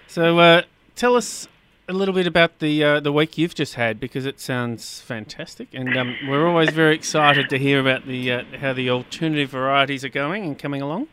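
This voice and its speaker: A man hosting a radio talk show, relaxed and chatty with frequent uhs. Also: on the high side, brisk, slight background noise.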